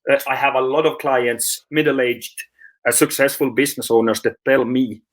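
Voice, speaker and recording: A man's voice: medium pitch, fairly even intonation, moderate pace. A man from Finland, a sleep coach, answering interview questions in a hesitant way, with many fillers, restarts and laughs.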